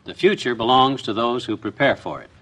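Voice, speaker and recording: A man's voice: medium pitch, animated, fairly quick, over loud music. A man reading a scripted 1950s radio advertisement as a commercial spokesman.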